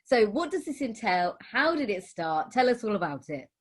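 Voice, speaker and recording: A woman's voice: on the high side, big pitch swings, husky. A woman hosting a casual interview, asking questions and reacting briefly. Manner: quick, short reactions and questions. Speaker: interviewer, host.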